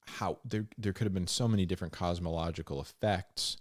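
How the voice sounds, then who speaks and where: A man hosting a Bible reading, mixing scripture with informal, slangy commentary and animated asides. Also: deep voice, fairly quick, no echo, clean studio sound. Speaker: host of a Bible reading show.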